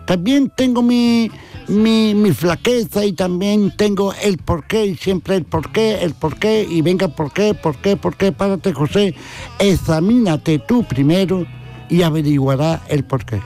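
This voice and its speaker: A man's voice: high voice, measured pace, warm tone, faint music behind. A man with an Andalusian accent chatting affectionately and philosophically on the radio, folksy, repeating himself and tagging phrases with questions. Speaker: regular radio guest. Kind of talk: casual philosophical radio chat.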